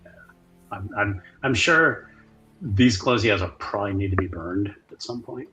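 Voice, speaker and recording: A man's voice: fairly low pitch, normal speed, pushed and bright, faint music behind, low-quality recording. An easygoing man narrating his character casually in a tabletop roleplaying game, often repeating words. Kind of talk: casual improvised roleplay chat.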